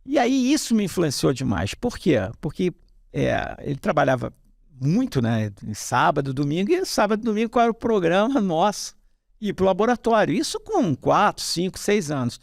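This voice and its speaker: A middle-aged man from Rio with a Carioca accent, telling his family's story in a relaxed interview. His voice is gravelly and sits a little high for a man. The sound is dry and close, with no room around it. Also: moderate pace, no echo. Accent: Carioca Brazilian Portuguese. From Rio de Janeiro, Brazil. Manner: rambling, many fillers and restarts, animated when telling stories. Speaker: hematologist guest.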